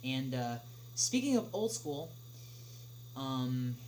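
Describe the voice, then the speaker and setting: A young man hosts a casual livestream, reading questions and answering them off the cuff. His medium-pitched voice moves in hesitant stops and starts, full of filled pauses. A clearly audible noisy hum sits behind him. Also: very animated, a little mellow. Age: young adult. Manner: halting, hesitant delivery with frequent long filled pauses. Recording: clearly noisy, humming background.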